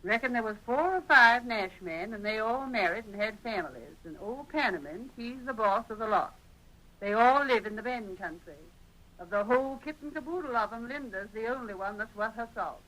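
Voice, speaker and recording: A chatty frontier busybody, emphatic and opinionated. The voice is bright and forceful, with a folksy rural Western drawl, in 1940s radio style. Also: female voice, on the high side, animated, moderate pace, rough recording. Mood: gossipy, indignant. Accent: rural American Western. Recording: old radio drama recording.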